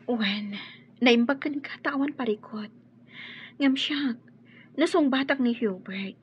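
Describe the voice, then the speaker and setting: A woman with a Filipino accent playing a hesitant young woman being courted in an Ilocano radio drama, speaking softly. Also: fairly high pitch, unhurried, natural voice. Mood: worried. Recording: dull sound with faint noise.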